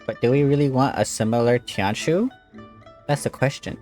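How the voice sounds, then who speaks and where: A man's voice: medium pitch, normal speed, a little mellow, soft background music. A man giving casual, offhand let's-play commentary with short interjections. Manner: offhand, interjecting, humming along. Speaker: gaming video creator.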